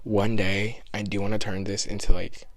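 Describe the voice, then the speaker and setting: A young man in his twenties with a raspy voice, hosting a casual solo podcast and chatting in a rambling, self-deprecating way, a little out of breath. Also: lowish voice, level tone, normal speed, no echo. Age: 20s. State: slightly out of breath. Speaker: podcast host. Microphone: podcast microphone.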